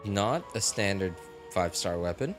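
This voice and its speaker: Low-voiced man in casual livestream chat. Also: expressive, moderate pace. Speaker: game livestream host. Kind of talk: casual rambling livestream commentary. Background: game music.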